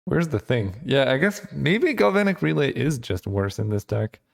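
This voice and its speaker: A man hosting his channel, thinking aloud in casual gameplay commentary with lots of fillers, his voice getting hoarse. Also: medium pitch, sing-song, moderate pace. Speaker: channel host.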